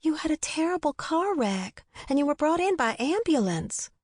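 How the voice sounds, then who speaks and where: A woman's voice: sits high, sing-song, normal speed, no echo, studio quality, voice pushed up. A woman reading aloud voices a talkative, motherly female hospital nurse with a folksy, kindly scolding manner. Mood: brisk. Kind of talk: audiobook character voice.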